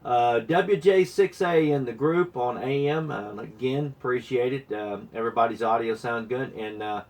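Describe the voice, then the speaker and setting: A man checking in casually as an amateur radio operator over AM shortwave, talking in a halting, unhurried way. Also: mid-range voice, darkish tone. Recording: distant-sounding AM shortwave radio transmission.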